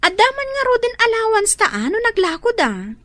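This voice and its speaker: A woman's voice: very high-pitched, wildly swooping pitch, a little fast, fairly bright tone, dry recording, exaggerated voice. An actress playing a lazy young female student who complains and avoids farm work in a radio drama. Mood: whiny.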